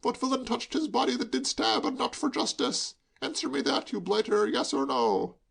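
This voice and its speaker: A man's voice: very high-pitched, lively intonation, normal speed, creaky, fairly bright tone, voice pushed up, heavily acted. A man voicing a drunk, jubilant young man who declaims Shakespeare with exuberant theatrical flourish. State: tipsy.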